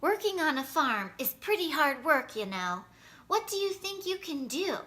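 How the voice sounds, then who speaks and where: Woman as a folksy farmer, high and bright. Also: big pitch swings, moderate pace, heavily acted. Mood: friendly. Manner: folksy, encouraging. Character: friendly, good-humored male farmer.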